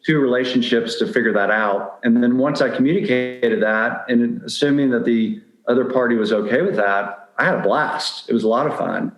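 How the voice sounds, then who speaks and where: A man's voice: medium pitch, moderate pace, hardly any pauses, echoey room, rough recording. A middle-aged man speaking candidly and anecdotally as a guest in a conversational interview. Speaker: podcast guest giving dating advice.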